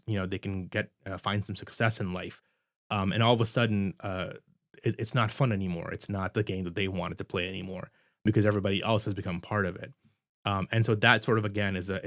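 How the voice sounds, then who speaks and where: A man's voice: fairly low pitch, no room sound, clean studio sound. A man from India with an American accent, giving casual, rambling commentary full of fillers and restarts. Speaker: video essayist.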